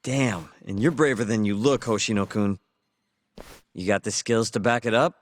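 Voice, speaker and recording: A man's voice: lowish voice, steady tone, normal speed, dry recording, lightly acted. An actor playing a cocky young man who talks in a casual, teasing way. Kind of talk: video game cutscene voice acting.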